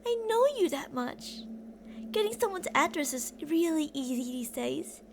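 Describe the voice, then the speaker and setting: A woman's voice: sits high, lively intonation, measured pace, creaky, slight background noise, heavily acted. A woman playing an obsessive, delusional female kidnapper who rambles theatrically and teasingly to a captive in an ASMR roleplay. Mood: matter-of-fact rambling.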